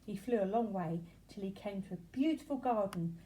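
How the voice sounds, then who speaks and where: A woman reading a children's story aloud in an expressive narrating style. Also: mid-range voice, moderate pace, background noise. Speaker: storybook reader.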